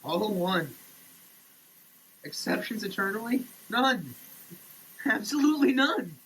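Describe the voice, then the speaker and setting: A man giving a theatrical, declamatory dramatic reading, swinging between hushed delivery and shouting, as a comic performance. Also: sits high, very animated, takes it slowly, husky, low-quality recording. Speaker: sketch comedy performer. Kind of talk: dramatic reading of a product label. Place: shower.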